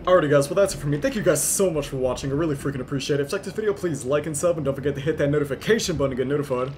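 Hoarse young gaming YouTuber, slightly high voice, mutters then yells and swears a lot, game noise clearly behind. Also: male voice, very fast. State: hoarse, losing his voice. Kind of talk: excited live gameplay commentary.

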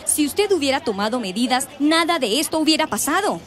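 High-pitched, indignant, bossy Colombian woman. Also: animated, brisk, fairly bright tone. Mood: scornful. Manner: confrontational, scornful. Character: stern, indignant, bossy parents' association president. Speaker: radio drama actress. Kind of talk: radio drama acting.

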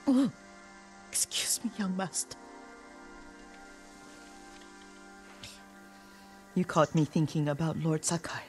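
A woman playing an elderly, devoted caretaker in a video game drama, speaking tenderly and haltingly, often hushed. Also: sits low, lively intonation, faint music behind. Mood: wistful. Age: older. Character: elderly devoted female caretaker, gentle, wistful, stubborn.

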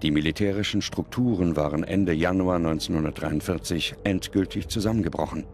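A man delivering grave, measured scripted narration as a documentary narrator. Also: deep voice, slight background noise.

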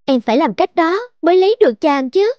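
A woman voicing a sly, possessive demon wife in an animated fairy tale, theatrical and insistent. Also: very high-pitched, brisk, no room sound, dull audio. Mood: coaxing, defensive. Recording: music behind the voice.

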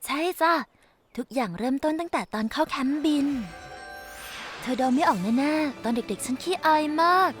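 A woman voicing a gentle, shy young female pegasus pony in a children's cartoon dub, soft-spoken and tender. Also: sits high, moderate pace, close to an everyday voice. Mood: gentle, kindly. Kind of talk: dubbed children's cartoon acting. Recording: music behind the voice.

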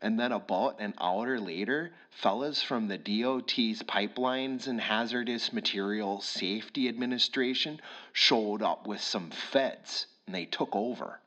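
A man playing a gossipy, blunt male police sergeant with a thick Minnesotan accent, talking low and folksy on the phone. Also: measured pace, lo-fi sound. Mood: confiding. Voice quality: hushed, folksy, drawling. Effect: heard over the phone.

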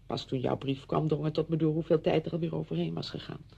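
A woman's voice: low-pitched, steady tone, fast talker, gravelly, warm tone, slightly rough, highs cut off, exaggerated voice. An actress playing a chatty, apologetic married woman visiting a friend in a radio drama, effusive, rambling and flustered.

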